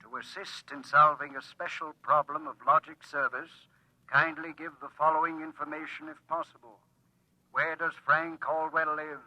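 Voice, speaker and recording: A man playing an impersonal talking machine in a 1950s radio drama, speaking formally and with measured care. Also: on the high side, rough recording. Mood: impersonal, insistent. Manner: flat, formal, measured delivery. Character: impersonal talking logic machine demanding information. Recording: vintage radio recording, sharp and thin.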